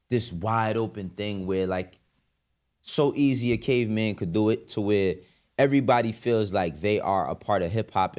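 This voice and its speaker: A man's voice: on the low side, darkish tone. A man with a New York accent giving opinionated, casual commentary, speaking emphatically in profane street slang with rhetorical questions. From the Bronx, New York. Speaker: commentary show host.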